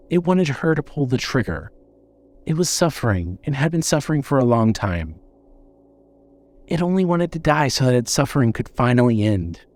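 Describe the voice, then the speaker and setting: A man narrating scripted horror fiction in a dramatic, suspenseful storytelling manner. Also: medium pitch.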